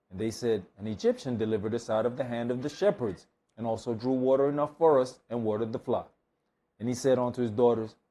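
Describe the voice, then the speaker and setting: Mellow man reading aloud. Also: mid-range voice. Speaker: scripture reader at a Bible study. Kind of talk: reading scripture aloud, brief comments.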